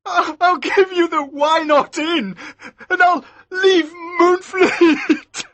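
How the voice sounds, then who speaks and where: A man voicing a frightened, pompous middle-aged magistrate in an audiobook, shrill and pleading. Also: sing-song, a little slow, pushed and bright, breathy edge, dry recording, exaggerated voice. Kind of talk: audiobook character voice.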